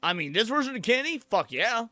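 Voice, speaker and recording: A man giving casual, crude reaction commentary as a streamer, thinking out loud, swearing freely and reading text aloud. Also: very high voice, very animated, fast, dry recording. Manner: crude, profane, opinionated.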